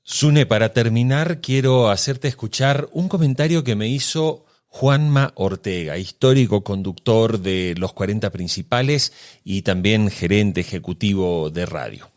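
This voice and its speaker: Male podcast host, low-pitched voice, presenting prepared commentary. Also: a little slow.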